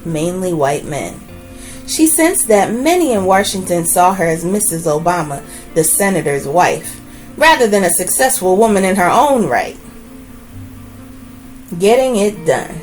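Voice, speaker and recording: A woman hosts a children's book read-aloud in a mid-pitched, very animated voice. She phrases deliberately and leans on key words, adding short asides as she goes. Faint music plays behind her. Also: rough recording. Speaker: read-aloud host reading a children's biography.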